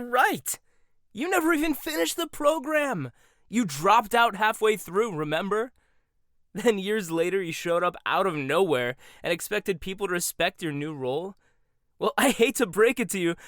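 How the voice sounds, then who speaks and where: Man as a combative young chef, lively sarcastic delivery, dry close sound. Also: sits high, no echo, full character voice. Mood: mocking. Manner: sarcastic, confrontational. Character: proud combative young male head chef. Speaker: voice actor. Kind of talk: audio roleplay.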